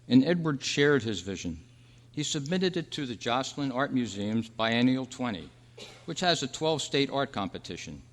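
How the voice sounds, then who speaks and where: Male, earnest measured radio-play reader a man acting, medium pitch, big room reverb. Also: lightly acted. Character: Roy, dead thirty-three-year-old man's wistful, peaceful spirit. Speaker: actor and announcer. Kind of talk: staged radio drama reading. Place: live club meeting in a hall. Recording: echoey hall with long reverb.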